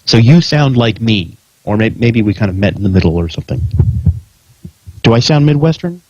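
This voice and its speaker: A middle-aged American man with a fairly low voice chats casually as a podcast host. The recording is roomy and a little dull, like an internet call. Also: expressive, moderate pace, a little mellow. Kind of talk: casual pre-show chat.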